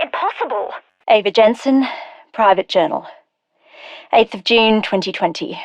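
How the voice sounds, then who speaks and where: An actress playing a brilliant, wry and determined scientist in a video game, speaking articulately in scripted lines and journals. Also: female voice, fairly high pitch, dry recording, close to an everyday voice. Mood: reflective, uneasy. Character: brilliant wry female scientist, guilt-ridden, urgent and determined. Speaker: scientist character.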